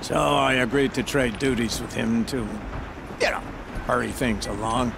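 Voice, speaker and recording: Actor doing a chatty worried guard guy from a video game, mid pitch, fretting wryly, noisy outdoor background. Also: moderate pace, natural voice. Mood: sheepish, anxious. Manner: fretful, wry, talkative. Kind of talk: video game character acting. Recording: clear outdoor ambient noise behind.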